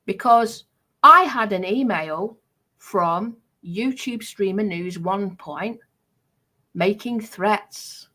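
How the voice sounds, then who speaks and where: A woman's voice: medium pitch, slow, sharp tone. A British woman streamer talking casually and unscripted, in a defensive, insistent manner. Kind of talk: casual unscripted livestream talk.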